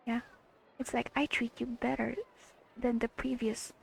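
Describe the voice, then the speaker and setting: A woman giving casual gameplay commentary, thinking aloud in a halting, questioning way as she reacts to a story. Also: a little high, level tone, creaky, a little hiss or hum, low-quality recording. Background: faint game music.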